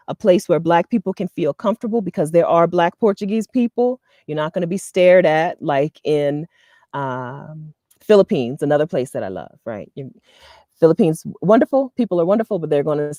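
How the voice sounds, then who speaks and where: An animated woman in her thirties from the US East Coast, talking straight to camera in a casual, advice-giving vlog. Her voice sits a little low and sounds mellow and warm, recorded dry and close. She laughs easily and keeps asking "okay?" to keep her listeners with her. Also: no echo. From Delaware, US East Coast. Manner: chatty and animated, laughs often, checks in with "okay?". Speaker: vlogger and expat community host. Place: outdoors in front of a leafy green wall.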